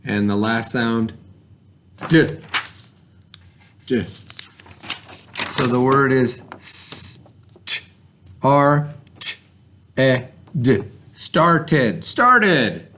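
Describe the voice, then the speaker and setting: A man's voice: medium pitch, lively intonation, very slow, pauses often, noisy background. A man teaching a remote reading lesson to children in an informal, instructional way, carefully sounding out letters and words. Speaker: elementary school teacher.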